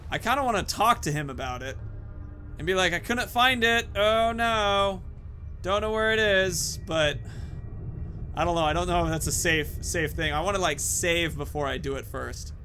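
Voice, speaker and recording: Bright-voiced man doing gaming commentary. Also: high-pitched, normal speed, faint music behind. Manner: informal, thinking aloud. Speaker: gaming video host. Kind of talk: casual let's play commentary.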